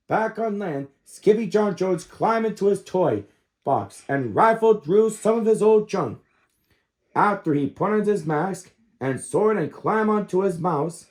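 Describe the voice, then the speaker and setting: Storytime reader: male, 30s, high-pitched, big swings in intonation, expressive children's book narration.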